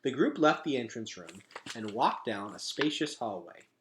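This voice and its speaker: A man reading a children's story aloud as a teacher, with expressive, dramatic emphasis. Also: mid-range voice, normal speed, a little hiss or hum.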